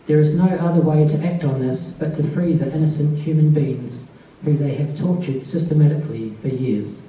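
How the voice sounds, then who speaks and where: A soft-spoken man who gives a tribute introduction at a literary event and then reads a short piece aloud. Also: on the high side, normal speed, a little hiss or hum. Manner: soft-spoken, calls his voice mousy. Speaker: author giving a tribute reading. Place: festival event space. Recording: distant, dull, very echoey room.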